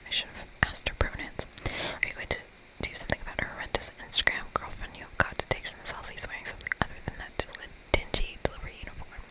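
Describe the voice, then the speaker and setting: ASMR host, fully whispered and breathy, reading game dialogue aloud. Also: fast, low-quality recording.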